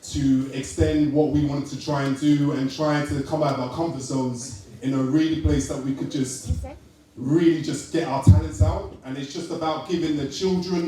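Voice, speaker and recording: A man's voice: on the high side, dark tone, a little hiss or hum. A man accepting an award on stage, earnest and hesitant, with many ums. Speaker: award recipient. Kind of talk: acceptance speech. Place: large hall at a live event. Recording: echoey hall, taken from far off.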